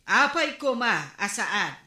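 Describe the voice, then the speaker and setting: A woman's voice: a little high, very animated, takes it slowly, bright, forceful tone, full character voice. An actress playing a fed-up woman neighbor who complains and scolds in a radio comedy drama. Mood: scolding. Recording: echoey room.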